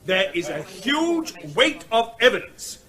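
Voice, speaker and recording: A man's voice: very high voice, sing-song, unhurried, pushed and bright, full character voice. An actor in a radio play voicing a stern male official, speaking formally and insistently as if to a public meeting. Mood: firm, insistent. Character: stern male official running rabies control briefing.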